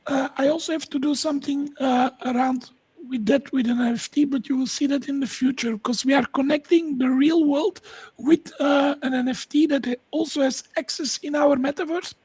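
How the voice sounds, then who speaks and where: A woman's voice: high voice, steady tone, moderate pace, a little mellow, dry recording, low-quality recording. A woman from Belgium with a Flemish accent, enthusiastically explaining projects as an interview guest. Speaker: podcast guest, tech entrepreneur. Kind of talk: conversational pitch of own projects.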